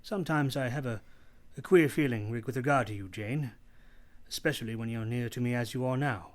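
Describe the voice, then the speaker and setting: A man playing a brooding, teasing gentleman master in love, with formal, theatrical delivery in a radio play. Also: mid-range voice, brisk, close to an everyday voice. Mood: tender.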